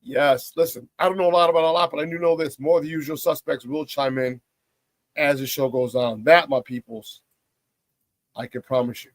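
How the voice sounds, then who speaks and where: Hoarse guy hosting sports talk, raspy, joking around, dry close mic. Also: a little high, fairly even intonation, normal speed, no room sound. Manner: playful, riffing, hype-man banter. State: hoarse from a cold. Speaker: sports talk show host. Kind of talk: casual sports talk show opening.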